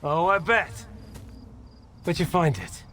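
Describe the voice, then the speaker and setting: Domineering game hothead, male, brusque, bright forceful voice, highish pitch, faint ambient noise. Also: sing-song, takes it slowly, heavily acted. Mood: suspicious. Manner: brusque, confrontational. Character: hot-tempered, foul-mouthed, domineering man in bleak zone. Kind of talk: video game cutscene acting.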